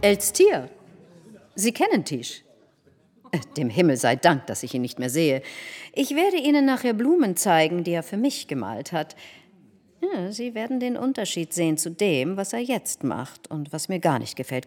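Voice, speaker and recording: A woman's voice: deep voice, darkish tone. An actress in a literary radio drama playing a vain, domineering middle-aged society hostess, pompous and emphatic in her delivery. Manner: pompous, emphatic, swooping declamation. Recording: long reverberant hall, music behind.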